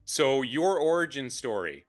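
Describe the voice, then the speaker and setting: A man's voice: mid-range voice, sing-song, a little slow, soft background music. Upbeat male podcast host. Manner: enthusiastic, upbeat. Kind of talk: casual interview.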